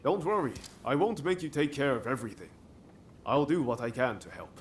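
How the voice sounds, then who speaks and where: An actor playing an easygoing, friendly young samurai reformer in a video game, casual and easily flustered. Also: male voice, mid-range voice, expressive, brisk, a little hiss or hum, lightly acted. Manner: casual, friendly, hesitant when cornered. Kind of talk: video game cutscene acting.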